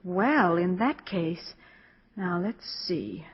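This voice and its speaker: A woman's voice: lowish voice, animated, very slow, husky, exaggerated voice. A woman playing a sensible, businesslike department store woman in a 1940s radio drama. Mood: worried, practical. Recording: muffled vintage 1940s radio recording.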